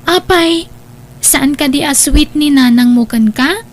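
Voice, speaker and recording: An actress playing a curious, sympathetic young student in an audio drama, with a casual, questioning manner. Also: female voice, sits high, takes it slowly. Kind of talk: Ilocano audio drama acting.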